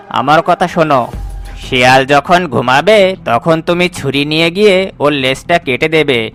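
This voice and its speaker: A man acts out a clever crow from an animated fable. His voice is on the high side, with the questioning, advising way of a shrewd friend. Faint music sits behind it. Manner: questioning, counselling. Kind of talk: animated moral story voice acting.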